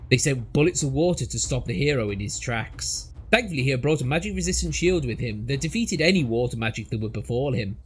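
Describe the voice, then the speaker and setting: A man's voice: medium pitch, slightly rough. A man reading a scripted storybook tale as narrator, in a theatrical, mock-epic manner.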